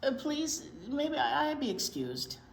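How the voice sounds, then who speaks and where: A woman's voice: sits high, big pitch swings, a little slow, vocal fry, pitched above the performer's own voice, exaggerated voice. A woman voicing a stubborn young girl from a picture book, stiffly polite and grudging. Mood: sulky, grudging. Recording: roomy echo with background noise.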